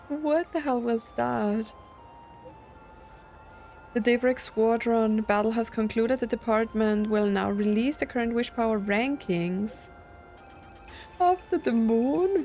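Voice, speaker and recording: A woman's voice: a little high, darkish tone. A woman speaks as the host of a gaming video. She comments and reacts in a casual, conversational way. Faint music plays behind her.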